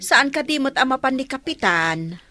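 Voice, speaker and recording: Here is a chatty, prying Ilocano village neighbour in a radio comedy. Her voice is bright and fairly high, with lots of lively rise and fall. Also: moderate pace. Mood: prodding.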